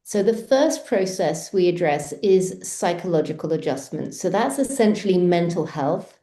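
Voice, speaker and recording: Woman researcher on a video call, steady and structured, warm dark voice. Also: lowish voice, reverberant. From Switzerland, Australia and France. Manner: measured, structured explanation. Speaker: researcher guest. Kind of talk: explaining research in an interview.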